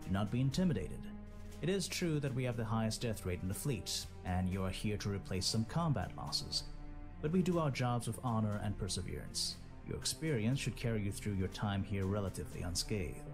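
An actor playing a stern, steady major giving a formal, measured military briefing in a space game. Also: male voice, on the low side. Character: stern, steady male squadron leader, a major. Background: loud music.

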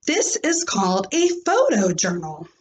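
A woman's voice: medium pitch, huge pitch swings, a little hiss or hum. A woman voicing a kindly male schoolteacher in a storybook, patient, upbeat and explanatory. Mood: explaining. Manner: explanatory, measured. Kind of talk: expressive storybook read-aloud.

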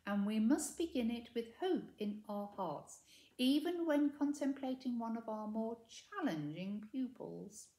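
A woman's voice: on the high side, expressive, measured pace, warm tone, reverberant, pitched above the performer's own voice. A woman voicing a kindly, hopeful headmistress in a storybook. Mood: gently chiding. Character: kindly, hopeful older headmistress of witch school.

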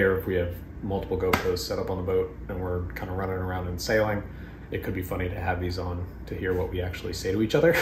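A man in his thirties with a lowish voice talks through his video gear in a relaxed, jokey way, poking fun at himself. The room rings with echo and a clear background hiss sits under the voice. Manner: jokey, self-mocking, laughs often. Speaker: vlog host. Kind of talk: casual gear overview. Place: wood-paneled living room indoors. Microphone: clip-on lapel mic.

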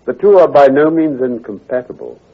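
An actor playing an assured, precise gentleman detective who explains with authority in a radio drama. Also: medium pitch, animated, fairly quick. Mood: precise, measured. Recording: tinny, hazy old radio recording.

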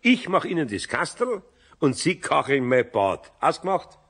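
An older Bavarian master craftsman, good-natured but grumbly. His husky voice swings up and down as he hems and haws through a children's audio play. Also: on the high side, big pitch swings, a little slow, fairly bright tone, exaggerated voice. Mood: awkward, genial. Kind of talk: children's radio play acting.

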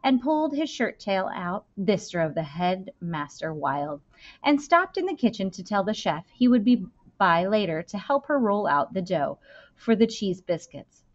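A woman's voice: mid-range voice, big pitch swings, unhurried. Woman reading a novel aloud, dull sound. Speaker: school librarian reading aloud. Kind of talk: expressive children's novel read-aloud. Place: indoor room. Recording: dull, lo-fi webcam-style sound.

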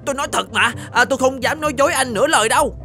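A woman's voice: high voice, racing, fairly bright tone. A woman voicing a pleading talking monkey in a fairy-tale cartoon, with hesitant little interjections. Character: pleading talking monkey caught stealing food. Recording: music behind the voice.

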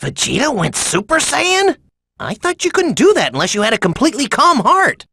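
An actor playing a boyish male hero sidekick in an anime game, squeaky and creaky. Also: wildly swooping pitch, normal speed, sharp tone, a little hiss or hum, heavily acted. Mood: astonished. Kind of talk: dubbed anime video game acting.

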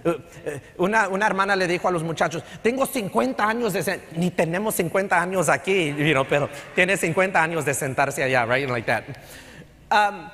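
High-voiced Texan pastor preaching in a hall. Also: male voice, animated, normal speed, fairly bright tone. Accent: Texan Spanish with English code-switching. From Texas. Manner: conversational preaching, switching between Spanish and English. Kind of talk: sermon. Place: large church sanctuary stage. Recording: long hall reverb in sanctuary.

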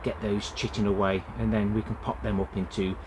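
Plain-spoken man with a lowish voice. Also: little pitch movement, fairly quick, noisy background. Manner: plain-spoken, many "uh" fillers. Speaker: allotment gardening vlogger. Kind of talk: casual vlog narration. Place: outdoor allotment, in and around a greenhouse.

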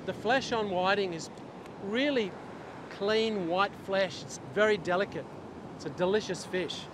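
A middle-aged man with an Australian accent talks in a relaxed, instructional vlog style. His voice sits high for a man. It is recorded outdoors on a beach, with clear noise from waves and wind behind him. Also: a little slow. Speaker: fishing instructor and vlogger. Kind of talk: casual instructional talk to camera. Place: open ocean beach, outdoors. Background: waves, surf and wind.

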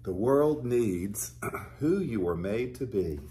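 A man in his fifties, a pastor reading a picture book aloud to young children and explaining it gently and simply. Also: on the low side, expressive, takes it slowly. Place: small furnished living room, indoors.

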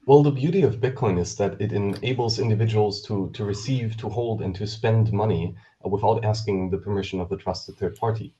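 A low-pitched man with a mellow, dark tone explains his point earnestly and fluently, dropping steady uh fillers. He speaks through a headset on a video call, and the audio comes through dull and lo-fi. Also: brisk, hardly any pauses. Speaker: panelist. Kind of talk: explaining on a panel.

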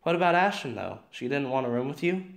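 Gravelly, questioning young guy played by a man. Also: medium pitch, expressive, quick pace. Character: curious, questioning young male friend named Matt. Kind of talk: scripted audio drama dialogue.

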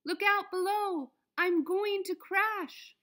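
A woman voicing a friendly, eager mouse in a children's storybook, with an inviting, excitable manner. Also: extremely high pitch, slow pace, voice pushed up, heavily acted. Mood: alarmed. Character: eager, friendly male mouse showing off a house.

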